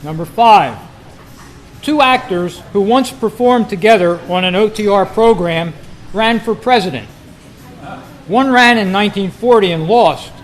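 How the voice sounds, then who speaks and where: A man hosting a quiz, reading trivia questions aloud to a group in a deliberate, phrase-by-phrase way at a club meeting. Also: high-pitched, faint music behind. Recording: noisy live meeting room recording.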